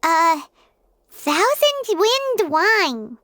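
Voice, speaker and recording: A woman voicing a tiny, chirpy, excitable childlike fairy companion for a video game, with a squeaky voice. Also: very slow, no room sound, clean studio sound, full character voice. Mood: curious, surprised. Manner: refers to self in third person, exclamatory.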